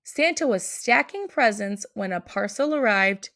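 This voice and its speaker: A woman's voice: mid-range voice, lively intonation, a little slow, a bit bright. A woman narrating a picture book aloud for children. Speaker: storybook reader.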